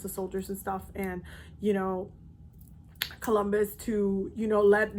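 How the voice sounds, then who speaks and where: A woman's voice: medium pitch, slight background noise. A young woman from the Dominican Republic, talking casually to her viewers in a loose, rambling way with many fillers. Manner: loose and chatty, many ums and restarts. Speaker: spiritual content creator. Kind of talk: casual vlog talk to viewers.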